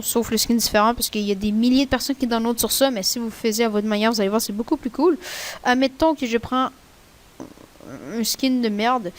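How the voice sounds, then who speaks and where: A woman with a Québécois French accent, rambling casually through a screen-recorded software tutorial in a chatty, slangy way. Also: a little high. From Quebec. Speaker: tutorial maker.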